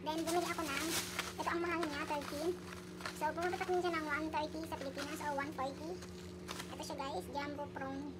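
A woman's voice: sits high, level tone, brisk, heavy background noise. A young woman with a Filipino accent chats casually as a vlogger, mixing in English words, on an amateur phone video. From Philippines. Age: young adult. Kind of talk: casual chatty shopping haul vlog. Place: supermarket aisles, later a small room at home.

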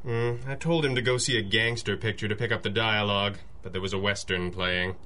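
An actor playing a dryly witty, commanding masked crime-fighter boss, deadpan and authoritative, in a radio adventure drama. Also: male voice, lowish voice, brisk, a little hiss or hum. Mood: wry. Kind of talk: 1930s-style radio adventure acting.

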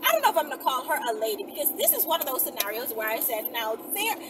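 A woman's voice: high-pitched, very animated, quick pace. A woman in her thirties tells stories in a casual, blunt, slangy way, chatting to camera as a vlogger. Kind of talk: casual storytelling chat to camera. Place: inside a moving car. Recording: distant mic, loud vehicle noise. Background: loud road and vehicle noise.

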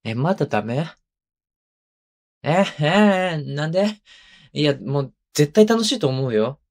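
A man's voice: a little high, very animated, unhurried, darkish tone, exaggerated voice. A male voice actor playing a playful, clingy, childlike young husband in an intimate ASMR drama, coaxing and teasing in a casual, hesitant way. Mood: whiny, pleading. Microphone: close microphone, binaural.

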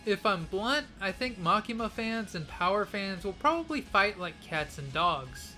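Bright-voiced man, mock-serious commentary. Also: sits high, sing-song, unhurried, faint music behind. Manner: mock-serious, punning, playfully dramatic. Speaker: anime YouTuber. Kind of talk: scripted video commentary.

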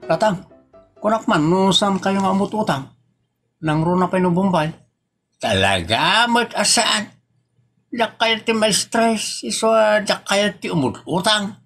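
A man's voice: high voice, animated, unhurried, music in the background. A man playing a defensive, flustered old village father in a comedy drama, protesting with exclamations in an Ilocano accent.

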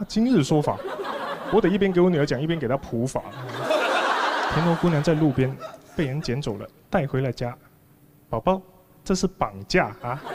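A man in his thirties does stand-up on a studio stage. His voice sits a little high, and he spins his stories conversationally with mock outrage. He speaks into a handheld microphone, with plainly audible noise from the audience behind him. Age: 30s. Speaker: stand-up comedian. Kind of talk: stand-up comedy monologue to an audience.

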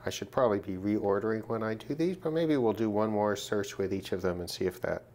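A man giving an informal hands-on gear demonstration, thinking aloud in a measured way as he goes. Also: on the low side, a little mellow, slight background noise. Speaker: hobbyist demonstrating a shortwave radio test. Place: indoors at a work desk.

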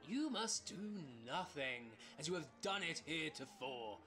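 Man as bright-voiced, scheming swindler. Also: a little high, very animated, unhurried, soft background music, heavily acted. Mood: flattering. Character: scheming, flattering swindler with a bossy streak. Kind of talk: amateur audio-drama comic acting.

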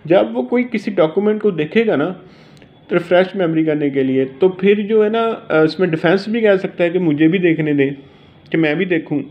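A man with a Pakistani accent giving an informal lesson as a teacher, reading out and explaining in a halting way. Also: fairly high pitch, lo-fi sound. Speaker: law teacher.

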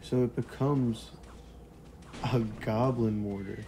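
A young man with a hoarse, partly lost voice, casually commentating a mobile strategy game and chuckling quietly as he plays. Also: mid-range voice, takes it slowly, background noise. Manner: relaxed, chuckles quietly while playing.

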